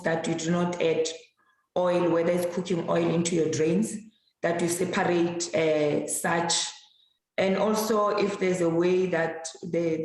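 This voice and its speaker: Woman, presenter, low voice, calm explanations, heavy room echo. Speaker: doctoral student presenter. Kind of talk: answering a question after a talk. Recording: very echoey room.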